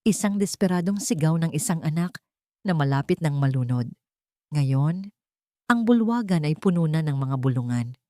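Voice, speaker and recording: Low-pitched woman narrating a dramatic story. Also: animated, normal speed, mellow, dry recording, clean studio sound. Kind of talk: dramatic scripted first-person story narration.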